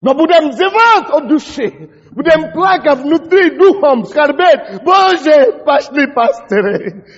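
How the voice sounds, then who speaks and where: A man's voice: extremely high pitch, expressive, slow pace. Male pastor, English native speaker, fervent sermon; dull, echoey church-hall recording. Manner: impassioned, shouting prayers, stumbling over words. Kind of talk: fiery sermon.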